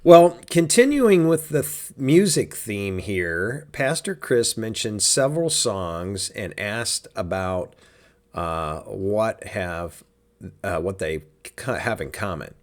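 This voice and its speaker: A man with a raspy, hoarse voice from a sinus cold, hosting a casual church podcast in an easygoing, chatty way. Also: lowish voice, very animated, slow. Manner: easygoing, chatty, with many fillers. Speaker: podcast host.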